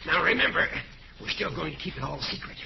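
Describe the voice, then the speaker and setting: Creaky, high-pitched old judge. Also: male voice, very animated, fast talker, exaggerated voice. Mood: fussy, secretive. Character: older, chummy, secretive judge, a scheming gift-giver. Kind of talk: 1940s radio comedy acting. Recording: dull, noisy old-time radio recording.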